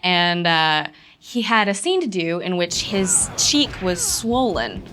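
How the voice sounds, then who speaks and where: A woman's voice: fairly low pitch, sing-song, slow pace, sharp tone, slight background noise. Woman director sharing studio stories. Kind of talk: interview anecdote.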